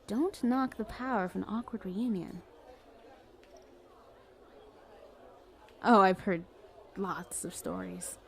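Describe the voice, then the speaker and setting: A flirty villainess, acted by a woman in an ASMR roleplay, with a mid-pitched voice full of vocal fry. She is smug and cajoling, talking straight to the one she adores, and stumbles slightly as she pleads her case. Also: measured pace, some chatter behind, a little hiss or hum, close to an everyday voice. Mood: boastful, teasing. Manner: teasing, cajoling, conversational with hesitant stammers. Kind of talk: ASMR roleplay, addressing the listener as love interest.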